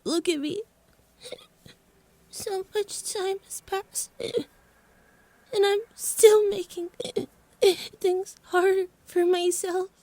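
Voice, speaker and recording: A woman's voice: extremely high pitch, steady tone, takes it slowly, long pauses, mellow, no room sound, exaggerated voice. A woman voicing a defiant, battle-worn girl with magic powers in an anime-style audio drama, dramatic and stubborn, a little breathless. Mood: weary self-reproach.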